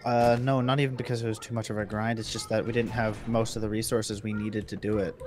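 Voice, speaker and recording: A man's voice: on the low side, little pitch movement, fast, a little mellow. A man chatting casually as a co-player during a streamed video game. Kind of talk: casual chat while gaming. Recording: faintly noisy online game chat.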